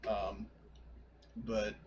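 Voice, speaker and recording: A man's voice: fairly low pitch, completely flat delivery, extremely slow, background noise. A man chatting casually as a player in a tabletop roleplaying game. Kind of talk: casual chat.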